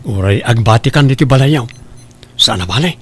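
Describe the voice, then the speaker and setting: A man's voice: medium pitch, animated, normal speed, husky. A man playing an eager, reassuring young bridegroom who is short of money, in a radio-style comedy drama.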